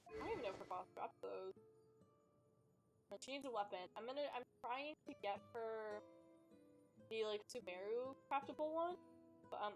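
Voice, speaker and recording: A woman giving casual, chatty commentary on a game livestream, rambling and often trailing off mid-thought. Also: medium pitch. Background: music.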